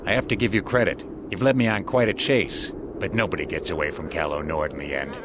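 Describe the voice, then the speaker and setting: An actor playing a boastful, menacing bounty hunter in a video game, with clear ambient noise behind him. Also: male voice, low-pitched, steady tone, fast, natural voice. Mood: menacing, cocky. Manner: boastful, threatening. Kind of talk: video game character acting.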